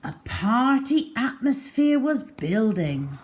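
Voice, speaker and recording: A woman storyteller reads for young children with theatrical care. Her pace is very slow and her pitch moves in lively swoops. Phrases often settle into a hush at the end. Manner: theatrical, deliberate, with hushed phrase endings.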